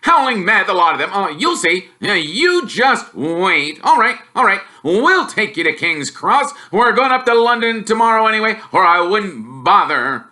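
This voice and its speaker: A man voicing a gruff, bad-tempered middle-aged uncle in a storybook, with a growling, scornful, forceful delivery. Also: high-pitched, sing-song, moderate pace, few pauses, pitched above the performer's own voice, exaggerated voice. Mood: irritated, scornful. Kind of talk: storybook character voice.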